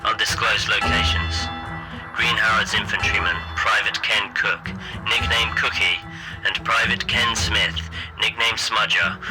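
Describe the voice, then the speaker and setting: An actor playing a brisk army radio operator in a wartime audio drama, giving terse, formal position reports over the radio. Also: male voice, fairly low pitch, low-quality recording. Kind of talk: clipped military radio report.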